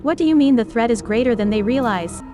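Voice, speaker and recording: Higher-voiced, direct female mage acted by a woman. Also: expressive, quick pace, music in the background, natural voice. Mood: guarded, direct. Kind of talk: game mod character voiceover.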